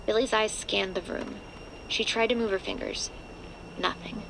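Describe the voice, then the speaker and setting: A woman's voice: mid-range voice, fairly even intonation, moderate pace, noisy background. A woman narrator reading a scripted horror story for an audio drama.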